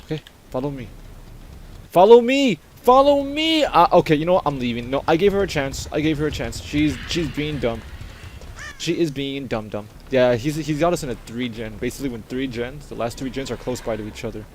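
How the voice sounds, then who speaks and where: A man with a deep voice he pushes louder, giving excitable, teasing commentary while playing a game. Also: a little fast, a little hiss or hum. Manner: excitable, loud, teasing teammates. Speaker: gamer. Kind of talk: casual gaming commentary.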